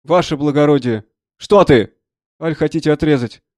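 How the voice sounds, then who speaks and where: A man's voice: mid-range voice, fairly even intonation, a little fast, darkish tone, no echo, clean studio sound, natural voice. A man voicing a plucky, plain-spoken wounded soldier in a dramatised audiobook, earnest and deferential in a folksy way. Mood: pleading.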